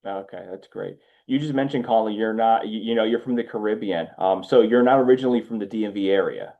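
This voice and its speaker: A man's voice: lowish voice, fast talker, narrow, tinny audio. A man hosting a business show in a conversational interview style. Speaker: podcast host.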